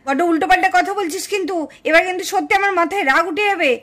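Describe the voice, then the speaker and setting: A woman's voice: very high-pitched, racing, a bit bright. A woman voicing a quarrelsome, indignant village daughter-in-law in a puppet cartoon family drama. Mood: angry, defiant. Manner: scolding, indignant, exclamatory.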